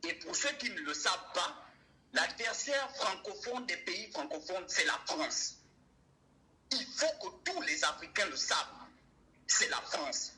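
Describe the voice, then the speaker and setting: High, bright-voiced young man calling in. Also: big pitch swings, unhurried, low-quality recording. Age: young adult. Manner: emphatic, rhetorical questions. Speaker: caller. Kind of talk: call-in debate.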